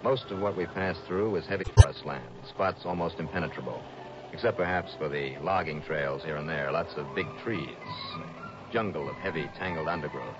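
An American man playing a brisk, wry insurance investigator in a 1960s radio detective drama, with clipped, punchy delivery. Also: very deep voice. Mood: descriptive, dry. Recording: dull vintage radio recording with music.

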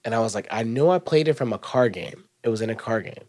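A man in his twenties hosting a podcast, chatting casually in a slangy, rambling way that trails off quietly. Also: medium pitch, expressive, fast talker. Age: 20s. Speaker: podcast host. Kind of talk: casual chat.

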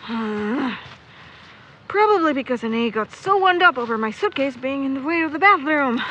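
A woman's voice: sits high, expressive, normal speed, bright, forceful tone. A young woman playing a stubborn, grumbling searcher in an audio drama, candid and wry as she thinks aloud. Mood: grumbling, musing. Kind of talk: audio drama monologue into a recorder. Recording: muffled, noisy outdoor-style ambience.

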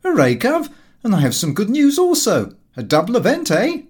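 A man's voice: high voice, huge pitch swings, moderate pace, a little mellow, breathy edge, voice pushed up, exaggerated voice. A man voicing a brash, breezy young clerk's son full of slang and self-assurance in an audiobook. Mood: triumphant.

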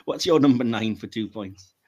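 A man's voice: medium pitch, moderate pace, warm tone, husky, muffled recording. A man hosting a podcast in a casual, chatty panel style. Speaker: podcast host.

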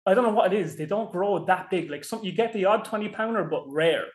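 A talkative Irish man whose voice sits a little higher than most men's. He rambles loosely through stories in a relaxed interview chat, leaning on fillers as he goes. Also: brisk. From Ireland. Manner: rambling, many fillers like "you know" and "like". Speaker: podcast guest, fishing guide.

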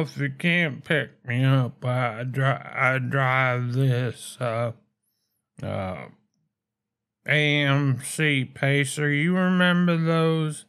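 Very slow-talking rambling man. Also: medium pitch, fairly bright tone, smooth. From Montana. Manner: halting and rambling, full of fillers and restarts; folksy, chuckles at his own jokes. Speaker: caller. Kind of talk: rambling comic phone message.

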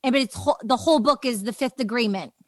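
A woman's voice: on the high side, fast, no echo, studio quality. A woman hosting a podcast in casual conversation, chatty and peppering her speech with "like". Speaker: podcast host.

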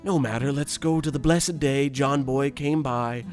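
A man playing a nostalgic, oblivious, privileged old man who reminisces in a genteel, unhurried, folksy radio storytelling style. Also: mid-range voice, steady tone, natural voice. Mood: fond nostalgia. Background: plucked guitar music.

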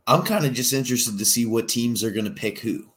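A man's voice: mid-range voice, fairly quick, a bit bright. A man co-hosting a casual sports podcast, laid-back and hedging with lots of "like" and "I mean". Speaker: podcast co-host.

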